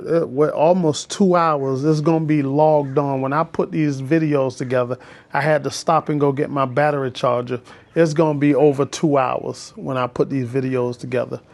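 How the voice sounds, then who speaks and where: A man's voice: fairly high pitch, creaky. A man, telling his life story in a casual, preachy, emphatic vlog from his porch. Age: 50s. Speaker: vlogger and school bus driver trainer.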